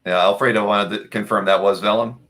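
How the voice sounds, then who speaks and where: Male host for a relaxed live preview, low-pitched, short conversational responses. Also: fairly quick. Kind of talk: casual chat, brief reactions.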